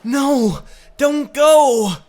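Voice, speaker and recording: Man voicing a young fisherman, frightened, panicky, high strained voice, storybook horror. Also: drawn-out words, darkish tone, exaggerated voice. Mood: desperate.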